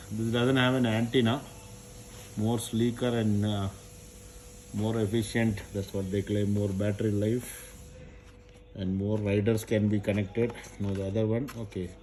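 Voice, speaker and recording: A man doing a casual, unscripted product unboxing, haltingly thinking aloud as he goes. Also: fairly low pitch, fairly even intonation, a little mellow. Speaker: unboxing presenter. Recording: noisy recording with crinkling packaging. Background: handling and crinkling of packaging.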